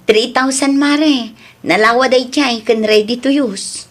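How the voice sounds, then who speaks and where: A woman with a Filipino Ilocano accent playing a teasing, lively neighbour selling her goods in a radio comedy drama. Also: on the high side, measured pace. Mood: teasing, surprised.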